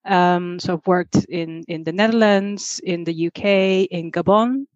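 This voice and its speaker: A woman's voice: on the low side, unhurried, a little mellow, dry recording, lo-fi sound. A woman in her forties, raised in Singapore, talking as a podcast guest over a remote call, chatty and full of ums and restarts. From grew up in Singapore. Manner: chatty, many ums and repeated starts. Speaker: guest, communications manager. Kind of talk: podcast interview.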